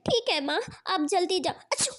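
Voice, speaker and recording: A woman's voice: extremely high pitch, sing-song, moderate pace, a bit bright, exaggerated voice. A woman voicing a stubborn, whining, boastful young boy bird in a cartoon. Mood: whining, suffering.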